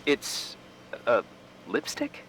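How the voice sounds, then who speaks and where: A man's voice: drawn-out words, a bit bright, slight background noise, poor audio. An actor playing a casual, wry and mildly skeptical man in naturalistic game dialogue, offhand and hesitant, a little evasive. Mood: puzzled, teasing.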